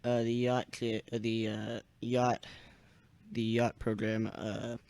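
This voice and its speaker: Young male radio net host, mellow but smooth, halting casual delivery, dry close sound. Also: medium pitch, completely flat delivery, no echo. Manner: halting, searching for words. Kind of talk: casual radio check-in chat. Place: small indoor radio room.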